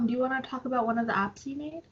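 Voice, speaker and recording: A woman's voice: sits high, rapid-fire, lo-fi sound, a little hiss or hum. A young woman conducting a casual interview in an informal, affirming way.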